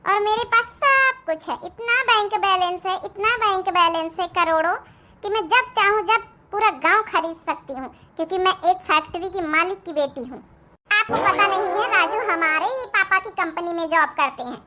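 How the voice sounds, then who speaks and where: A woman acts out a rich young city daughter-in-law in a cartoon. Her voice is very high and bright. She talks with sugary mock politeness and firm, sassy confidence. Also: normal speed. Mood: sassy, defiant. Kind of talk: Hindi moral-story cartoon acting.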